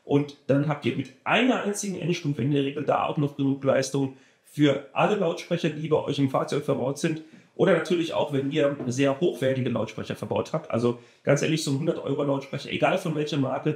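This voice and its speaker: A man's voice: fairly high pitch, brisk, a little mellow, echoey room. A man presenting a car audio amplifier in a casual review, talking with enthusiasm and repeating words for emphasis.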